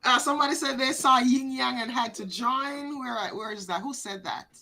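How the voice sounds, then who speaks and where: A woman co-hosting a live psychic reading stream, chatting with viewers and greeting them by name, a little hoarse. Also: high-pitched, normal speed, bright, forceful tone, a little hiss or hum. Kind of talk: casual live-stream chat.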